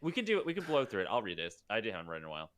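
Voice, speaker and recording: A man's voice: fairly low pitch, huge pitch swings, racing, fairly bright tone. A man co-hosting a live comedic table read, reading stage directions aloud and stopping to joke. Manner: reads stage directions aloud, breaking off for jokes. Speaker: podcast co-host.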